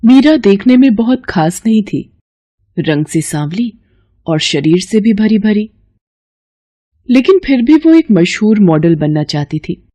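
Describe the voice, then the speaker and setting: Mellow-voiced woman storyteller. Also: medium pitch, big pitch swings, a little slow, pauses often. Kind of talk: scripted story narration.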